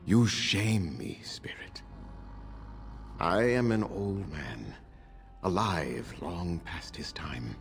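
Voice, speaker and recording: An actor voicing a weary, regretful ancient elf mage in a fantasy game, grave and halting, with a creaky voice. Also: male voice, deep voice, expressive, a little hiss or hum, exaggerated voice. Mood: ashamed, weary. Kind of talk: fantasy video game acting.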